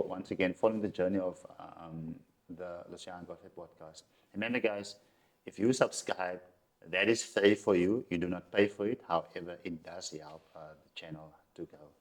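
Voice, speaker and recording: A male podcast host interviewing a guest, giving frequent short agreements and wrapping up the episode. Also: on the low side.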